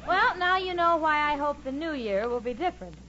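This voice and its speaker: A woman's voice: high-pitched, animated, fairly quick, a loud crowd. An American woman playing a sensible, sardonic single working woman in a 1940s radio comedy. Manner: sardonic, crisply theatrical delivery. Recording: muffled old radio broadcast, noisy studio audience.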